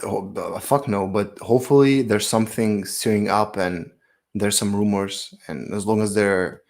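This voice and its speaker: A man's voice: lowish voice, fairly even intonation, normal speed, gravelly, a little mellow. A man co-hosting a podcast in casual chat, blunt and teasing, swearing freely. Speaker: podcast co-host.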